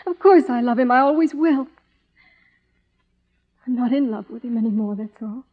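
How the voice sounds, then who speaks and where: A fairly high-voiced, earnest young woman, played by an actress, torn by love. Her delivery is tender and halting, on a tinny, narrow old radio drama recording. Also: lightly acted. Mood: tearful, yearning. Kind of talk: 1950s radio drama acting.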